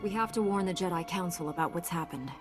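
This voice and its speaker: An actress playing a composed, earnest young Jedi knight in a video game, measured and polite. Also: female voice, on the low side, over music, natural voice. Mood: resolute. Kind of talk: video game cutscene acting.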